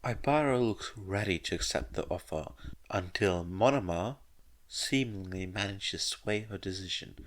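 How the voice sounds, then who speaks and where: A man's voice: deep voice, animated, moderate pace. A man reading scripted fiction as the narrator of an audio story.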